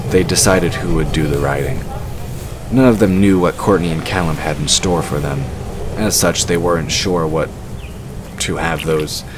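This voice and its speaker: A man narrating a scripted fantasy story for an audio drama. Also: sits low. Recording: clearly noisy background. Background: ambient sound effects.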